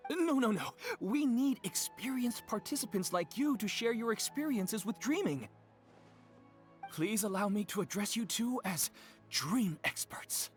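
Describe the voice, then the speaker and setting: A woman playing a polite young event organizer with a courteous, gently formal way of speaking. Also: fairly high pitch, lively intonation, a little slow, soft background music. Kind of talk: video game voice acting.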